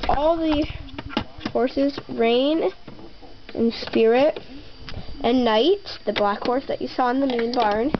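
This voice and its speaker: Kid girl in her bedroom, talks slow n rambly, high-ish voice, muffled cam mic. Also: noisy background. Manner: slow, rambling, with many ums and pauses. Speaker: toy barn tour guide. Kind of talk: casual home video narration. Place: bedroom at home. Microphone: handheld camera mic. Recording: slightly dull lo-fi home camera audio.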